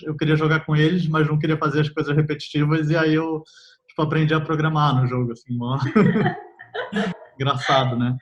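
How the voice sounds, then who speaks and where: A young man, a software developer talking casually in an interview, relaxed and full of fillers, laughing easily. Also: medium pitch. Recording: slightly muffled audio.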